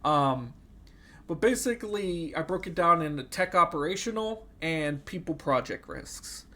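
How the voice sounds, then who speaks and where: Young male student, under the weather and nasal, a touch higher pitched, hesitant delivery full of fillers. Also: expressive, measured pace, sharp tone. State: sick, slightly nasal. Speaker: student presenting an assignment. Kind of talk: casual class presentation.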